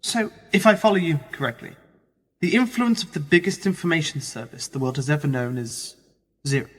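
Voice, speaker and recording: An actor playing a younger, wry and politely sceptical journalist in a radio play. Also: male voice, on the high side, brisk, fairly bright tone, husky. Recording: long reverberant hall echo.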